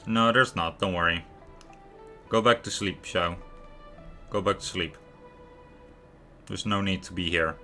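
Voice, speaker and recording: Bright-voiced, low-pitched man streaming a game. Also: measured pace, pauses often, faint music behind. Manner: rambling, stumbling over words. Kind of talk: casual live gameplay commentary.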